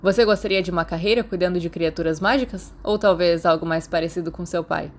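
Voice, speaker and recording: A woman voicing a cheerful, kindly and energetic older male professor in an audiobook story, warm and gently teasing. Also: high voice, quick pace. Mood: warm, amused.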